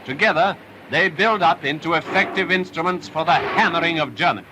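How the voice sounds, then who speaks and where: A man's voice: a little high, a little fast. A man narrating a wartime film in an emphatic, patriotic style, on a noisy restored 1940s film soundtrack. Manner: upbeat, emphatic, patriotic delivery.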